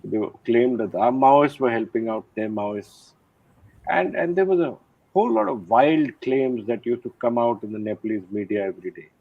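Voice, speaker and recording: Older general on a thin call line. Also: male voice, mid-range voice, creaky, dry recording. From India. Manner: measured, reflective, many "uh" pauses. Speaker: retired army general, guest. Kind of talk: interview conversation. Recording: thin phone-like line, dry.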